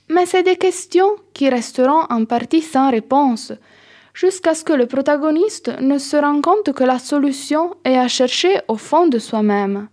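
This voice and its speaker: High-voiced woman reading a radio script. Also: mellow. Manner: measured, reads from a script. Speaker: radio book show host. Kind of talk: scripted radio presentation and interview questions.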